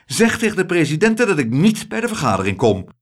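A man's voice: big pitch swings, quick pace. A man voicing a rich, theatrical older American lady who gushes and sobs over a boy she takes for her son. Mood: excited, imperious. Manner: high, shrill, sobbing and doting.

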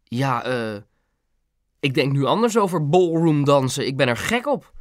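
A man's voice: medium pitch, very animated, a little slow, dry recording, studio quality. A man voicing a nervous young son with a hesitant manner in an audiobook. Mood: flustered, pleading. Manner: hesitant, stammering excuses. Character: nervous, sweaty young son covering up a fib. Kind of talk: audiobook character voice.

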